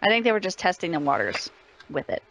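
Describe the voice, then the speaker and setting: A woman's voice: lowish voice, sing-song, quick pace, fairly bright tone, slight background noise, poor audio. A woman chatting casually as an interview guest, agreeable in manner. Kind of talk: casual chat.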